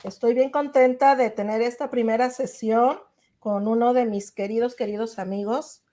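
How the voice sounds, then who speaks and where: Mellow-voiced woman hosting a faith podcast. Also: fairly high pitch, steady tone, normal speed. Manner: warm, effusive, grateful, with many "eh" fillers. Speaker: podcast host. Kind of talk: casual interview chat.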